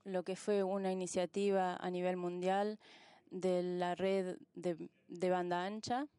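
Female conference interpreter, lowish and bright, phrasing in fragments with self-corrections. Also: little pitch movement, measured pace, clean tone, dry recording. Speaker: simultaneous interpreter. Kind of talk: live interpretation of conference speeches.

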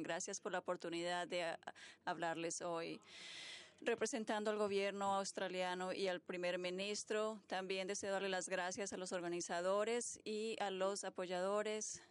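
A woman's voice: lowish voice, clean tone. A woman working as a simultaneous interpreter, delivering a formal speech live, phrase by phrase.

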